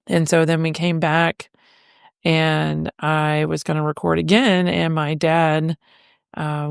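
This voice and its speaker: A middle-aged woman chats alone into her podcast mic, self-deprecating and quick to laugh. Her voice has a gravelly fry under a smooth, resonant tone. The sound is close and dry. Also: low-pitched, no echo. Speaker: podcast host. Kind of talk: casual solo chat. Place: home living room.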